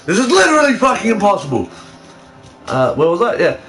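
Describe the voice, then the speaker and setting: A man's voice: high voice, wildly swooping pitch, a little fast, a bit bright. A man co-hosting a casual fan fiction reading, with dry teasing, plenty of swearing and an amateur read-aloud style.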